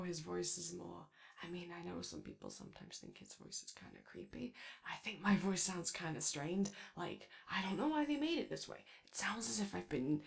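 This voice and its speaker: A woman performs a gentle animatronic daycare attendant, male and anxious, in a comfort ASMR roleplay. The voice is strained and heavily creaky, leaping widely in pitch. He talks slowly and haltingly, stammering and apologetic. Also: full character voice. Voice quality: strained, raspy, creaky, as if voice lost from yelling. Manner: hesitant, nervous, stammering, apologetic.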